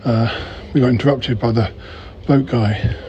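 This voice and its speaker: A male podcast host with a croaky voice, chatting casually to listeners while waiting for a train. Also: medium pitch, fairly even intonation, moderate pace, warm tone, slight background noise. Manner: chatty, rambling asides. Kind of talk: casual vlog-style update to listeners. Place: underground train platform. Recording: muffled recording in a busy station.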